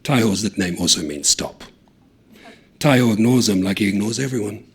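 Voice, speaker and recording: Gravelly man telling a story. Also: fairly low pitch, normal speed. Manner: lively, acts out the dialogue, shouting some lines and dropping to a hush on others. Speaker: reader of a short story. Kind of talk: dramatic storytelling with character voices.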